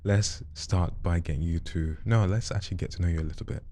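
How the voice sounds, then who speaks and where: Deep-voiced, mellow male podcast host. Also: steady tone, fast talker, a little hiss or hum. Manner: upbeat, coaxing, conversational. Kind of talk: casual interview hosting. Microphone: studio microphone.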